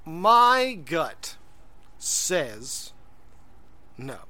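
A man's voice: a little high, sing-song, very slow, pushed and bright. A man co-hosting a podcast in casual chat, rambling and hesitant, full of fillers and restarts. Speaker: podcast co-host.

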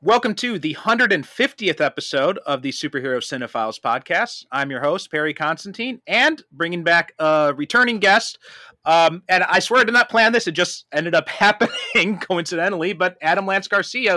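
A man with a bright, forward voice, pitched a little high. He hosts a podcast in casual conversation, recorded dry and close. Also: lively intonation, normal speed, no echo. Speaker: podcast host.

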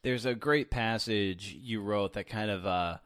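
Low-voiced male podcast host, dry sound. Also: a little slow, a bit bright, dry recording. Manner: appreciative, with frequent fillers. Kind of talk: podcast interview.

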